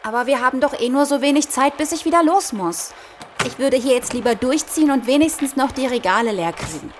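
A woman's voice: high-pitched, lively intonation, a little fast, slight background noise. An actress playing a sceptical young adult daughter humouring her father in an audio drama, in a wry, casual, mocking way. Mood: reluctant, amused. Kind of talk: German audio drama dialogue, colloquial.

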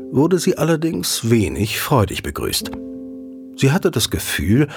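A man narrates a scripted radio play. His medium-pitched voice moves with animated, expressive intonation. Faint music plays behind his storytelling. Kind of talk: scripted audio drama storytelling.

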